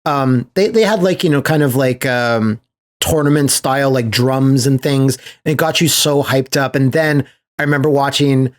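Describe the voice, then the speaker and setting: A man chatting casually as a podcast host, enthusiastic and rambling in a slangy way. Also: medium pitch. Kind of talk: casual podcast chat about movies.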